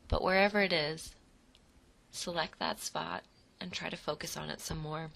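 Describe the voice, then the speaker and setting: Low-pitched, creaky-voiced woman guiding meditation. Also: a bit bright. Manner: soothing, unhurried, with short phrases and pauses. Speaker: guided relaxation narrator. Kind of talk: guided imagery meditation.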